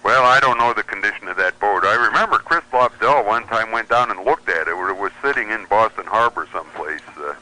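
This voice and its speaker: A man's voice: lowish voice, brisk, hardly any pauses, sharp tone, low-quality recording. A middle-aged or older man reminiscing in casual, anecdotal conversation as a guest on a radio show.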